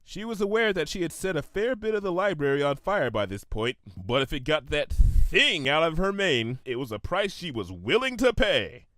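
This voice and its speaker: Man, story narrator, very animated intonation, expressive comic reading, dry close sound. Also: on the high side, moderate pace, few pauses, no echo. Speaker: narrator, fan fiction reader.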